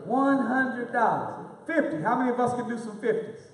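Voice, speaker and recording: A young man leads a fundraising appeal from the pulpit, calling out names and amounts in a steady rhythm. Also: extremely high pitch, normal speed, crowd noise. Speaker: minister leading a fundraising appeal. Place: large hall with an audience. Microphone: handheld microphone recorded from a far camera. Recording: echoey hall audio from far off.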